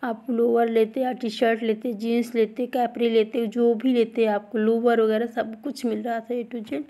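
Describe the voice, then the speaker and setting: Mellow, high-voiced woman vlogger. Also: flat delivery, measured pace. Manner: chatty, repetitive, addresses viewers directly. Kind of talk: casual vlog voice-over narration.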